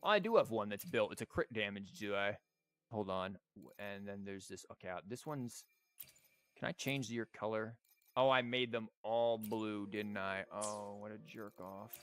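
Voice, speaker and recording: A man's voice: fairly low pitch, normal speed, fairly bright tone, no room sound. A man streaming a game, thinking aloud and rambling as he explains things in casual live commentary, with game music behind him. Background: video game music and character lines.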